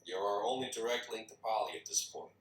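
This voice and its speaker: A man's voice: lowish voice, steady tone, fast, fairly bright tone, lightly acted. A man acting an insistent, businesslike secret agent at a screenplay table read. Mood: persuasive. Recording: echoey room, distant microphone.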